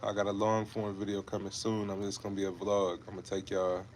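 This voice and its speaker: Deep flat-voiced young man. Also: fast, slight background noise. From Ohio. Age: 20s. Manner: laid-back, repetitive, calls viewers bro and man. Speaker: fitness creator hosting a livestream. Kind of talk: casual livestream chat with viewers.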